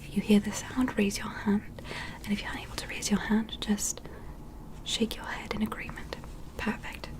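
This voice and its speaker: A woman voicing a gentle, curious alien medical examiner in an ASMR roleplay, whispering softly and giving careful, soothing instructions. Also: mid-range voice, little pitch movement, moderate pace, natural voice. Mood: calm, curious. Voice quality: soft breathy whisper.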